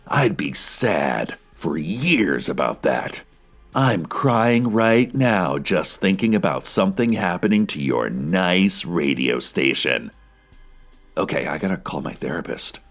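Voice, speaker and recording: A man voicing a menacing raspy creditor, a tough guy who turns sentimental and weepy as he makes threats. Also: low voice, sing-song, takes it slowly, soft background music, pitched below the performer's own voice, full character voice.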